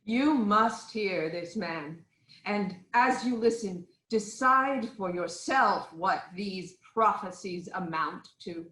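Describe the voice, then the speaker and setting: An actress playing a regal queen, an anxious but firmly reassuring wife, in a formal, deliberate reading of Greek tragedy. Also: medium pitch, lively intonation, a bit bright, echoey room, low-quality recording.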